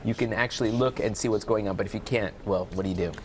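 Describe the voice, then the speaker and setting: Male interpreter, deep voice, voices the guest in first person, TV studio, faint hiss. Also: fast talker. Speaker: sign language interpreter voicing a guest. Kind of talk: live TV interview, speaking as interpreter.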